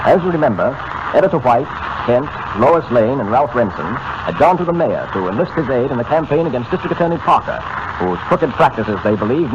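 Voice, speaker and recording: A man narrating a 1940s radio serial as an announcer, in a dramatic, emphatic manner. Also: fairly high pitch. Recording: scratchy, muffled old radio recording.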